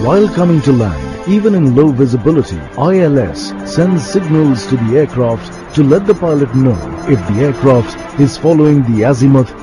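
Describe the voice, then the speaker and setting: Guy doing scripted documentary voiceover, mid pitch, loud music under it. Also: expressive, moderate pace, few pauses. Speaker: documentary narrator.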